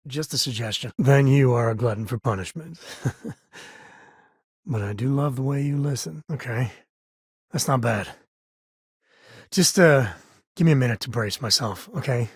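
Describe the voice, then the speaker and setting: A man's voice: medium pitch, slightly rough, no room sound. Game role: rugged space ranger and protective father. Folksy, easygoing, wry, with natural hesitations. Dry studio sound. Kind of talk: video game character dialogue.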